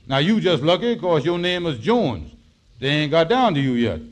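Fast-talking schemer for 1940s radio comedy: high pitch, very animated, folksy, sly. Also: male voice. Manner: blustering, wheedling, folksy ungrammatical speech. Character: scheming, fast-talking male lodge boss and con man. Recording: vintage 1940s radio broadcast.

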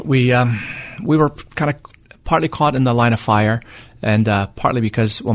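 A man's voice: lowish voice, creaky, no room sound. A man from Lebanon whose first language is Arabic, speaking in a light Lebanese accent as a radio guest, earnest and thoughtful, with many fillers and restarts. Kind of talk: conversational interview.

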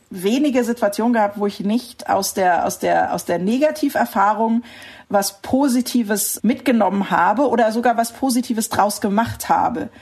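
A woman's voice: mid-range voice, darkish tone, breathy edge. A middle-aged woman from the Rhineland, answering interview questions in a thoughtful way, often searching for words and laughing easily. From Rhineland, Germany. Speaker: interview guest. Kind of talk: reflective conversational interview.

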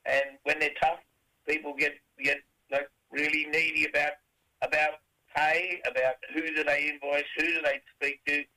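Raspy Australian rock singer, middle-aged man. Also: a little high, level tone, normal speed, sharp tone, low-quality recording. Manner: rambling, many fillers and restarts. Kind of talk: casual interview answers.

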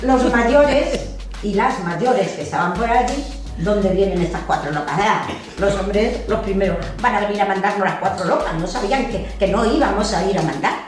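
A woman's voice: mid-range voice, moderate pace. An older woman talks earnestly and with emphasis, now and then restarting her sentences. She sounds far from the mic in a very echoey room. Faint music plays underneath. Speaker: member of a senior women's activist group. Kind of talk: interview testimony.

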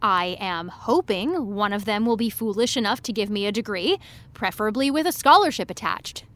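A woman playing an awkward, evasive high school senior, hesitant and apologetic, in a fiction podcast. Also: expressive, normal speed, a bit bright, close to an everyday voice. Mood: awkward, defensive. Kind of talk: scripted audio drama dialogue.

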